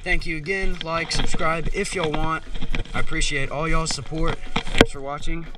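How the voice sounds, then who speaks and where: A young man, excitedly narrating his catches on a wooded creek bank, recorded on an action camera he is wearing. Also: fairly high pitch, normal speed, far from the mic, heavy background noise. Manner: excitable, keeps narrating catches, says "y'all" and "dude". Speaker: fishing channel host. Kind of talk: excited casual play-by-play while fishing. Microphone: head- or chest-mounted action camera. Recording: action camera audio under loud background music.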